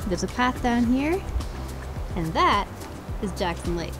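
A woman's voice: on the high side, sing-song, a little slow, over music. A woman in her twenties chatting casually to a camera as a travel vlogger. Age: 20s. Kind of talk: casual vlog chat to camera. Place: inside a truck and outdoors at a campground. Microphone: camera held at arm's length.

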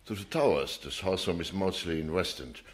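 An actor playing a plain-spoken taxi driver on the witness stand in a radio play, colloquial and halting. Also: male voice, sits low, lightly acted. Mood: matter-of-fact. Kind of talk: courtroom radio play acting.

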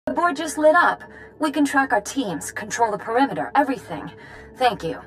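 A woman voicing a harried, earnest young prison assistant in a video game, flustered and halting. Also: high-pitched, sing-song, a bit bright, soft background music. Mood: relieved, hurried.

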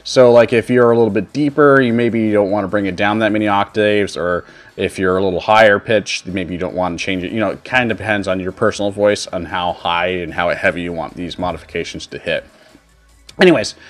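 A man in his thirties with a fairly low voice hosts a casual streaming tutorial. He explains software steps informally, rambling a little as he goes. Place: indoor home streaming setup.

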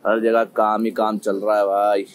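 A man's voice: fairly low pitch, flat delivery, normal speed, a little hiss or hum. Chatty young man vlogging at a stadium. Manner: chatty, laughs often. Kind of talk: casual vlog chat. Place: cricket stadium, outdoors.

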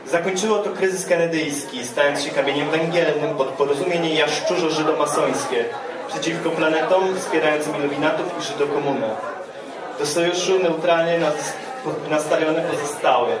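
A man giving a satirical literary reading on stage in a deadpan, mock-serious lecturing manner. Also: on the high side. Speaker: poet reading own work at a festival. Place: dark stage at a live poetry event, indoors. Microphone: microphone on a stand, heard through room. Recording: echoey live stage sound, distant, audience around.

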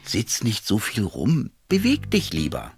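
A nagging cartoon companion to a little king. The voice is very deep for a woman and swings wildly in pitch as it chides and exclaims. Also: wildly swooping pitch, measured pace, soft background music, full character voice. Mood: stern, nagging. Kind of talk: children's cartoon narration and dialogue.

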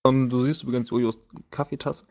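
A man acting as game master, narrating and bantering casually around a tabletop game with halting, hesitant phrasing. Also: mid-range voice, level tone, a little mellow.

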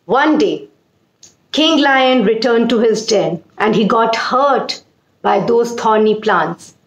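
A woman in her forties with an Indian accent, telling a children's story with deliberate, emphatic repetition. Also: on the high side. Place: small indoor room. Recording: roomy echo.

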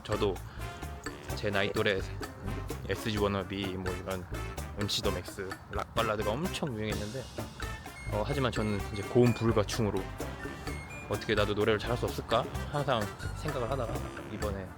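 A man in his thirties hosting a vlog, narrating casually in voice-over with hesitant pauses and fillers. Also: lowish voice, steady tone. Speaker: vlog host. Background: background music.

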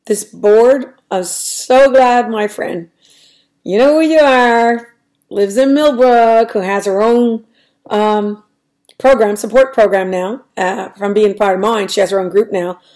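Raspy middle-aged woman, rambling vlog. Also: mid-range voice, takes it slowly, darkish tone. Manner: rambling, hesitant, many ums and restarts. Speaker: weight-loss vlogger. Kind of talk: casual diary vlog. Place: small room at home, indoors. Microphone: webcam at desk.

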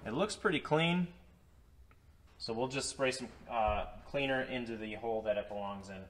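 A man's voice: mid-range voice, moderate pace. A man in a workshop casually explaining a repair step by step, with many fillers, recorded on the camera mic. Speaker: DIY repair vlogger. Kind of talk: casual how-to narration. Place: indoor workshop garage.

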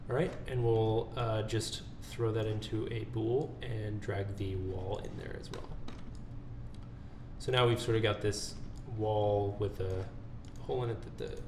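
A man with a lowish voice talks through a software tutorial in an informal way, thinking aloud as he goes. The recording is clearly echoey and noisy, with computer keyboard typing behind him. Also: steady tone, unhurried. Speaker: tutorial presenter. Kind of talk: casual screen-recorded software walkthrough.

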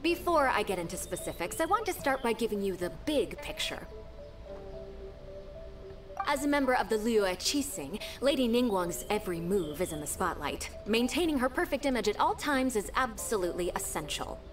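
An earnest, devoted young secretary, voiced by an actress in a video game. Her pitch moves in a lively way as she explains things eagerly, and she sounds set a little back from the mic. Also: normal speed, far from the mic, music in the background, close to an everyday voice.

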